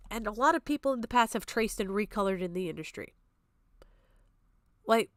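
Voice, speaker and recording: A woman's voice: mid-range voice, fairly bright tone, dry recording, clean studio sound. A woman giving off-the-cuff, argumentative stream commentary in a blunt, exasperated, sweary manner. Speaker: streamer reacting to a video.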